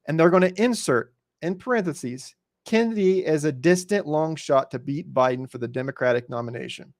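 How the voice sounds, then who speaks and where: American man with a higher-pitched voice, dry sound. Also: no room sound. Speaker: podcast host commenting on news. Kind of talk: conversational news commentary, reading and dissecting an article.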